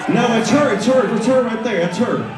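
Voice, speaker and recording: A man with a slightly raspy, fairly high voice shouts crude banter from a concert stage. He sounds distant and swallowed by a big echoing hall, as heard on a phone in the audience. A loud band and a roaring crowd sit behind him. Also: a little fast. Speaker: country singer on stage. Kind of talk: profane stage banter between songs. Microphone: stage vocal mic, recorded from the crowd on a phone. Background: loud band and cheering crowd.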